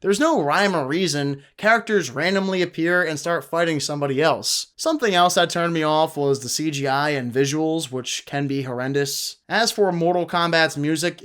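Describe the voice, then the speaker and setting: Clear, higher-pitched man reviewing films. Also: fairly bright tone. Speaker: film review video host. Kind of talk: scripted review commentary to camera.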